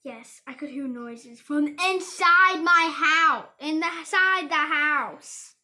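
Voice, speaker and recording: A woman's voice: sits high, takes it slowly, sharp tone. A young girl reading a rhyming storybook aloud and chatting casually, stumbling over and repeating words. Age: child.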